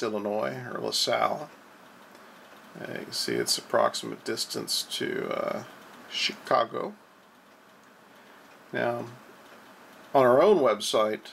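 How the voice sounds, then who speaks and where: Gravelly man with halting delivery, noisy recording. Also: lowish voice, fairly bright tone. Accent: American. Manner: halting, hesitant delivery with frequent drawn-out uhs. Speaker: blog host. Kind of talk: informal explanatory commentary over on-screen reports.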